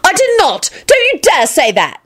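A woman doing a shrill, outraged woman. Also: wildly swooping pitch, moderate pace, no echo, clean studio sound, heavily acted. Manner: screaming, shrill, affronted. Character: indignant woman, easily outraged.

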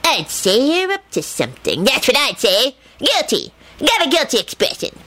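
A woman's voice: sits high, huge pitch swings, normal speed, pushed and bright, no room sound, pitched above the performer's own voice, exaggerated voice. A woman voicing a tiny, pompous male train conductor in an audiobook, declaiming suspicious accusations. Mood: accusing.

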